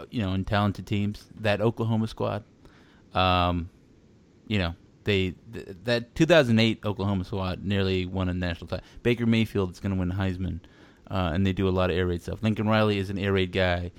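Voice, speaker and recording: A man's voice: fairly low pitch, smooth. A man from Texas in casual sports chat, rambling with many restarts and fillers.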